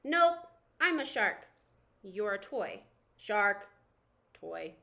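A woman's voice: mid-range voice, very animated, very slow, gravelly, sharp tone, pitched below the performer's own voice, full character voice. A woman voicing a menacing clothespin toy shark from a storybook, terse and threatening.

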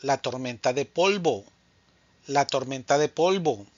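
A man teaching Spanish vocabulary in a scripted drill, speaking slowly and deliberately and saying each answer twice. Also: medium pitch, expressive, no echo, dull audio.

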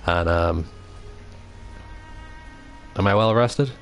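A man's voice: sits low, extremely slow, gravelly, faint music behind. A young Irish man from Dublin commentating his game live, rambling, swearing and answering chat. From Ireland. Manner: rambling, swears often, reads chat aloud. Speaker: livestreaming gamer. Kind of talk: casual live commentary while playing.

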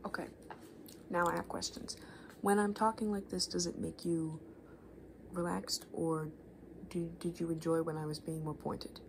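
A woman in her twenties doing soft-spoken, rambling ASMR, unscripted and self-deprecating, sometimes slipping towards a whisper. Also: on the low side, fairly even intonation, moderate pace, creaky. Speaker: ASMR video creator. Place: small living room at home, indoors. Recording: faint room noise on home recording.

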